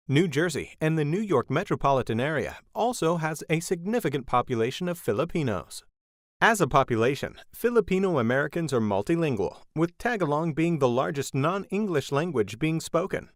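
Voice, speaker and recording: A man's voice: fairly high pitch, no echo, clean studio sound. A man reading scripted documentary narration.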